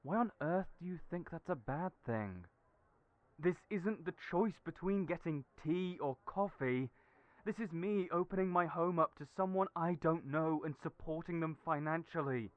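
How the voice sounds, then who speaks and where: A man's voice: fairly high pitch, moderate pace, no room sound, lightly acted. Julian, a man voicing a worried young man. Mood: worried, defensive. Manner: hesitant, worried, self-deprecating. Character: Julian, a kind, anxious, self-doubting young man. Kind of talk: audio roleplay acting. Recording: tinny phone-like audio.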